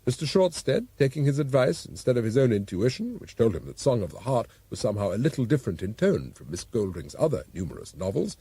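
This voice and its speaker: A man narrates an audiobook with wry, expressive storytelling. His pitch moves in a lively way and his voice has a slightly husky grain. The recording is close and dry, as in a studio booth. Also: fast, hardly any pauses, no echo.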